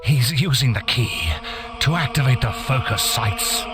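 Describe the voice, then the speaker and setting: Man acting a weary old wizard, dramatically expressive. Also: medium pitch, measured pace, over music, close to an everyday voice. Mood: defeated, regretful. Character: weary old male wizard, bitter and treacherous. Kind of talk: scripted fantasy game acting.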